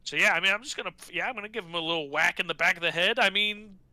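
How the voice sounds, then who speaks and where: This is an excitable man with a high, very sharp voice at a tabletop game. He swears freely and thinks out loud, and the sound is clear and dry. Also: rapid-fire, dry recording. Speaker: player in a tabletop role-playing game. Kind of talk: casual game chat.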